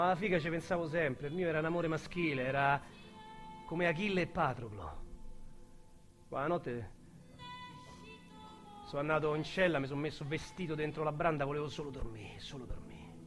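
A man's voice: on the high side, normal speed, pauses often, a bit bright, soft background music, lightly acted. An actor with a Roman accent playing a crude, rambling male ex-convict in a radio drama. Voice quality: slangy, rambling, vulgar storyteller. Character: crude talkative male ex-convict recalling prison.

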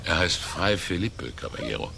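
Smug fat innkeeper in a radio play, creaky voice, gossipy, noisy behind him. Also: male voice, deep voice, sing-song, moderate pace, heavily acted. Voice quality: gravelly. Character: fat, gossipy tavern keeper, smugly judgmental. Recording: loud ambient noise behind voice.

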